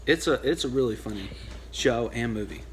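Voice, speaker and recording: A man's voice: mid-range voice, brisk. A man speaks up from across the room in casual, dryly defensive banter. He sits away from the mic, so his voice comes in a little distant over a faint hiss. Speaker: vlogger's husband.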